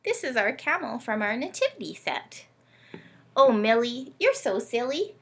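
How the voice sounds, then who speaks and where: A woman hosting storytime for children in an animated, teacherly way, often putting questions to her young listeners. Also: on the high side, unhurried, husky. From Canada. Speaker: children's read-aloud host.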